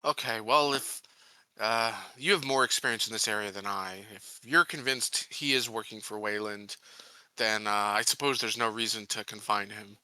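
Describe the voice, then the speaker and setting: Very bright, forceful-toned man deliberating. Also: lowish voice, steady tone, moderate pace, no echo, low-quality recording. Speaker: tabletop role-playing player. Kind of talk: in-character discussion, casual.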